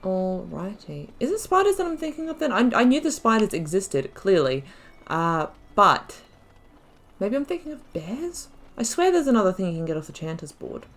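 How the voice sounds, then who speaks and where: A woman's voice: medium pitch, sing-song, normal speed. A woman giving casual, self-deprecating commentary as she plays a video game.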